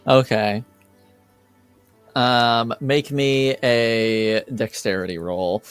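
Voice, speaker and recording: A man narrating a tabletop game as game master, improvising hesitantly with many pauses. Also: mid-range voice, steady tone, clean tone, soft background music.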